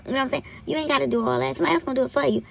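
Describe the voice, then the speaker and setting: A woman's voice: sits high, racing, vocal fry, a little hiss or hum. An American woman hosting an informal health commentary, giving candid advice in a blunt, salty, folksy way. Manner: blunt, profanity-laced, folksy terms of address. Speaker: health commentary host and nurse practitioner.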